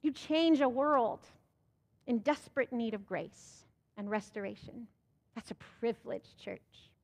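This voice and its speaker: Young American woman pastor, high voice, emphatic sermon delivery at a pulpit mic. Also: unhurried, in a large hall. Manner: rhetorical questions, emphatic rising delivery. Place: church sanctuary. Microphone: pulpit microphone.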